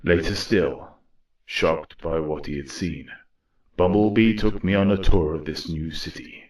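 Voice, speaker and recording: An actor playing a weary, solemn robot war leader in an audio drama, speaking in a grave, measured way. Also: male voice, sits low, crowd noise, low-quality recording. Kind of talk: audio drama dialogue and first-person narration.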